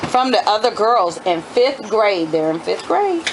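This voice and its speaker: Middle-aged woman, homeschooling mother reading aloud to children, medium pitch, explanatory asides, clearly noisy home background. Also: a little slow.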